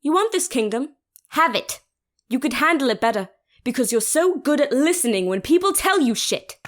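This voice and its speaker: A young woman playing a proud, defiant, sharp-tongued princess in an audio drama, blunt and sarcastic. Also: sits high, sing-song, normal speed. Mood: bitter, angry.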